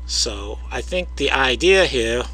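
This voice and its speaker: A man narrating his own video, explaining his audio analysis in a measured way, with frequent hesitations and direct words to the viewer. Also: medium pitch, expressive, pushed and bright, low-quality recording.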